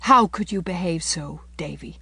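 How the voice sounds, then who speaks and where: A woman voicing a gentle young schoolteacher from a storybook, tenderly reproachful and morally earnest, with careful, correct speech. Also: medium pitch, very animated, unhurried, no room sound. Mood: sorrowful.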